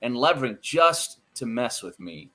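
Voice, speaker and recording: An American man with a bright, forward voice at an average pitch. He talks like a relaxed podcast guest, spinning personal stories with animation and poking fun at himself. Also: moderate pace. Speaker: interview guest, radio play-by-play announcer. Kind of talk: casual, anecdotal podcast chat.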